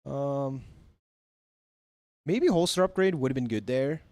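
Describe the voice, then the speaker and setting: A man's voice: mid-range voice, animated, slow, darkish tone, no room sound, clean studio sound. An American man chatting casually on a live gaming stream, laid-back and laughing often as he reads chat. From United States. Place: home near a big city.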